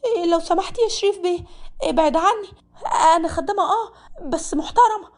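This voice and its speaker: A woman voicing a young female housemaid with an Egyptian accent, pleading in a high, tearful voice. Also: fast. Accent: Egyptian Arabic.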